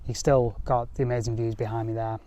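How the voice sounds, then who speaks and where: A man's voice: medium pitch, little pitch movement, fast talker, dark tone, muffled recording. A young man talking casually to camera outdoors on a mountainside, with lots of ums and restarts. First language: English. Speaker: history vlogger. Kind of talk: casual history talk to camera.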